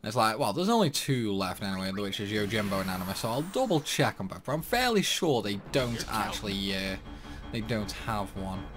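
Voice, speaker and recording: A man's voice: fairly low pitch, a little fast. A man giving casual, rambling commentary while playing a video game. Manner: chatty, rambling, animated. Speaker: gaming YouTuber.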